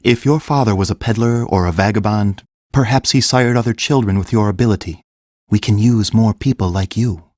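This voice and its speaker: A man playing a kind, grave fantasy king in a full-cast audiobook, speaking in a gentle, measured, earnest way. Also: lowish voice, dry recording, clean studio sound, lightly acted. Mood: kind, probing.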